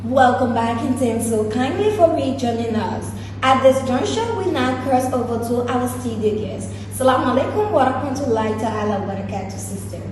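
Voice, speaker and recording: A woman's voice: fairly high pitch, animated, moderate pace, few pauses. A woman hosting an interview, speaking in a formal, ceremonious broadcast style. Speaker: interview host. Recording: echoey room, distant mic, noisy.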